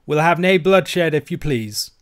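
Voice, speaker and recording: A miserly old Scottish uncle, as a male narrator acts him out in an audiobook. His voice climbs high and loud as he whines and snarls. He sounds cowardly and self-righteous. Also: animated, moderate pace, natural voice. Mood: wailing, horrified. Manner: wailing, snarling protests. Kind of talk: audiobook character voice.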